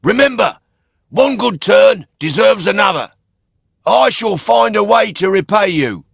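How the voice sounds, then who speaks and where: A man's voice: high-pitched, lively intonation, measured pace, creaky, breathy edge, no echo, studio quality, soft background music, pitched above the performer's own voice, heavily acted. A man voicing a big mastiff dog, earnest and urgent, in a children's storybook. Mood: earnest, grateful.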